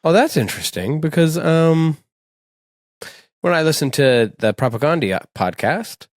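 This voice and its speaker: A man's voice: fairly high pitch, expressive, unhurried, dry recording, studio quality. A man co-hosting a podcast, chatting casually with profane, playful teasing. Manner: profane, joking, playfully mocking. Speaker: podcast co-host. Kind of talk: casual chat.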